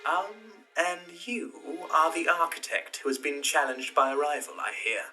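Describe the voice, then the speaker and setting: An actor playing an idle, smirking young schemer in a period audio drama, with a languid, sly, insolent manner. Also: male voice, fairly high pitch, expressive, a little slow, sharp tone, recorded from a distance, soft background music, full character voice, poor audio.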